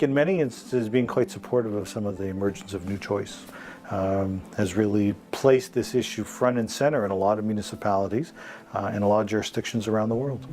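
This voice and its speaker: A man's voice: fairly low pitch, a little mellow. A man commenting as an expert in an interview, analytical and fluent with some fillers.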